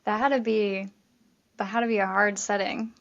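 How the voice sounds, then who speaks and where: A woman's voice: medium pitch, fairly even intonation, moderate pace, vocal fry, a bit bright, muffled recording. A woman hosting a podcast interview, upbeat and chatty with lots of fillers. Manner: upbeat, chatty, says "like" often. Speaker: podcast host. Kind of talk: casual interview chat.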